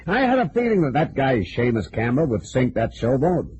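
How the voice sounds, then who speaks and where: A smug, sly smuggler from a vintage detective radio play, acted by a man. His intonation swings freely. The sound is dull, with clear background noise. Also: mid-range voice, very animated, moderate pace, darkish tone, lightly acted. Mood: suave, casual. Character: smug crooked male gambler and smuggler, suavely sly. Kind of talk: old-time detective radio drama acting.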